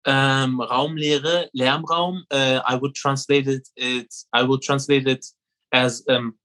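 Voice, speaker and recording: A man's voice: medium pitch, fairly even intonation, slow, sharp tone. German guy in his 30s on a video call, lots of uhs and ums, hesitant. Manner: hesitant, many fillers. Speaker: poet. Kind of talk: casual video call chat, poetry reading.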